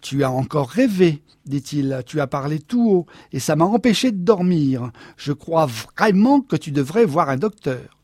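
A man's voice: fairly high pitch, measured pace, breathy edge, dry recording, studio quality. A man reading a jealous, hot-tempered husband. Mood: scornful. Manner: loud, sharp outbursts. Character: jealous husband, tense and quick to anger. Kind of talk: audiobook dialogue reading.

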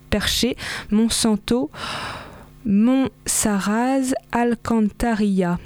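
A woman hosting a community radio show, presenting upcoming events in a relaxed way with frequent hesitations. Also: medium pitch, no room sound. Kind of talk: reading event listings on local radio.

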